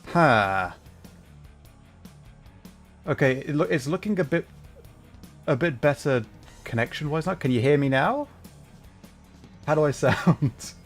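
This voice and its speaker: A man's voice: a little high, lively intonation, slow, pauses often, soft background music. A man chatting casually to viewers on a livestream, talking in a hesitant, flustered way and often interrupting himself. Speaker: livestreamer, VTuber.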